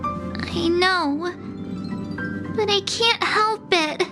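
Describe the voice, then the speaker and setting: A woman's voice: very high-pitched, extremely slow, over music, full character voice. A woman playing a girlish young female adventurer in a video game, anxious but upbeat. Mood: resolved, upbeat. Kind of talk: video game story dialogue.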